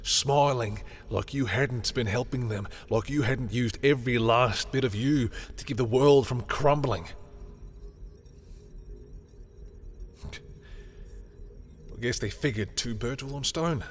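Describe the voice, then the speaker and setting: A man playing a lovestruck, bitter villain in an intimate audio roleplay, speaking in a halting, emotional way. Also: mid-range voice, natural voice. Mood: bitter, angry. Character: lovestruck, bitter male villain confessing to a rival.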